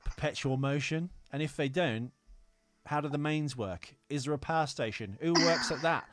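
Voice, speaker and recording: A man's voice: a little high, normal speed, fairly bright tone, no room sound. A man hosting a casual film podcast, talking in a rambling, hesitant, joking way. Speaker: podcast host. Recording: music behind the voice.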